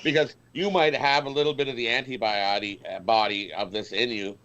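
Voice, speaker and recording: A man's voice: medium pitch, steady tone, a little fast, a bit bright. A man chatting casually as a sports betting panelist, blunt and emphatic, often repeating himself. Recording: dull audio, faint noise, clicks.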